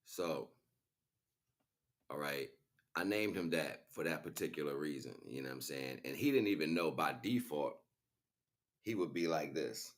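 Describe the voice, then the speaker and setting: Middle-aged male livestreamer, low-pitched, casual, conversational, loosely rambling spiritual talk. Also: animated, moderate pace, pauses often. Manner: conversational, frequent "you know what I'm saying" tags. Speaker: livestream host.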